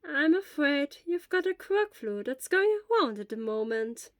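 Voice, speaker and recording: A woman voicing a kindly, brisk elderly school nurse who speaks in a slow, deliberate way. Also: high voice, sing-song, dry recording, clean studio sound, voice pushed up. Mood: matter-of-fact.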